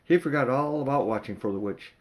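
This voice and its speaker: Older male storybook narrator, mid-pitched, expressive, homespun reader who leans into dramatic words. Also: brisk. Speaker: amateur audiobook reader.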